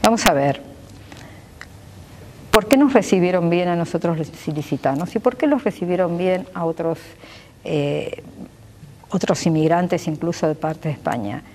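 A woman's voice: fairly low pitch, lively intonation, moderate pace, long pauses, mellow, in a large hall. A woman in her sixties with an Argentine accent, answering interview questions as a doctor and local politician, earnest and fluent. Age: 60s. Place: glass-walled pavilion with garden behind.

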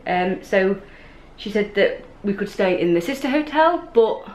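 A woman's voice: mid-range voice, animated, unhurried, a little mellow. A woman in her thirties with an English accent, chatting casually and a bit meanderingly to a handheld camera as a travel vlogger. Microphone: camera held at arm's length. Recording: noisy vlog audio with traffic and ambience.

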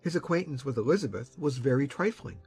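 A middle-aged man playing a sensible uncle in a dramatized audiobook, speaking in a measured, mildly wry way. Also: mid-range voice, animated, darkish tone, husky, soft background music. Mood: musing.

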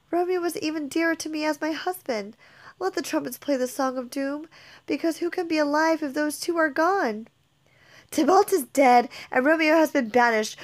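A woman reading a play aloud and voicing Juliet, a passionate young bride torn by grief, in an emotional, declamatory manner. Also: high voice. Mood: anguished. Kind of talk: dramatic reading of a modernized play.

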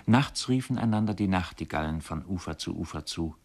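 A man reading literary radio narration in a measured, solemn manner. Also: low voice, animated, gravelly, darkish tone.

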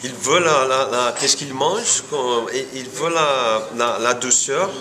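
A man's voice: medium pitch, a crowd in the background. A man in his forties with a Brazilian accent, searching for words and repeating them as he explains things in an informal interview. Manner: halting, word-searching non-native French with repeated words. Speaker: coffee farm owner being interviewed. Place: indoor room, seated before camera. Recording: clearly noisy room ambience.

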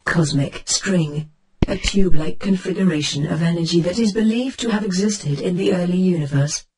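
A man's voice: high-pitched, normal speed, far from the mic, background noise, natural voice. A man voicing a spaceship's computer assistant for a game, reading encyclopedic answers in a formal, precise manner. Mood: formal, informative. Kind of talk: scripted encyclopedia-style voice response.

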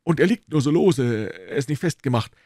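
A man's voice: medium pitch, sing-song, normal speed, a little mellow, no room sound, clean studio sound, pitched above the performer's own voice. A man doing a flustered, apologetic stout constable. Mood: explaining. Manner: pleading, eager to excuse himself. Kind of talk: audiobook character voice.

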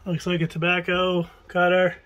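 A man's voice: high voice, completely flat delivery, normal speed, a bit bright, lo-fi sound, a little hiss or hum. A man filming himself with a handheld phone while he picks through a cramped wooden shed, musing aloud to viewers in a casual way. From New England. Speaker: estate sale buyer filming a shed cleanout. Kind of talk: casual running commentary while picking items. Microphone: handheld phone camera.

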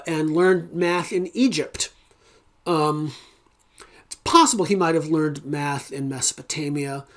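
A middle-aged man gives a recorded philosophy lecture from a book-lined home study, talking into his webcam. He takes his time, pausing often and filling the gaps with ums and uhs as he works his way through each idea. His delivery is unhurried and plainly unscripted. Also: a little high. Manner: unhurried, thinking aloud with many ums and uhs. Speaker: philosophy instructor. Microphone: webcam at desk.

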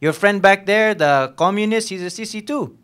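A young man on stage acts out a volatile Filipino police lieutenant with a somewhat high male voice. He interrogates in a needling, bullying way, dropping in Tagalog slang, as part of a dramatic novel reading. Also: normal speed, fairly bright tone, smooth. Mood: menacing. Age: young adult. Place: on stage at a live reading event. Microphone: microphone on a stand.

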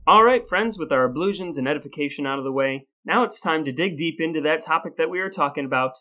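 A man hosting a podcast, telling stories and explaining in a deliberate, playfully grandiose presenter's delivery. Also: fairly high pitch, pushed and bright. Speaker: podcast host. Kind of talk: scripted storytelling and conversational explaining.

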